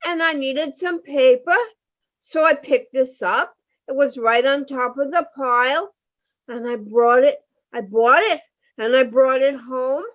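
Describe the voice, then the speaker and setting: A woman playing an anxious, pleading wife in a radio play, speaking in halting, fretful bursts. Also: high voice, fairly bright tone. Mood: anxious, upset. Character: frightened, anxious wife pleading with her husband. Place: home, over a video call.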